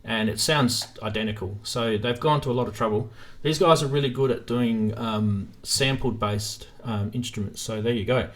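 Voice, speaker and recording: A man's voice: mid-range voice, normal speed, few pauses. A man hosting a live stream in a casual, informal chat, thinking aloud as he goes.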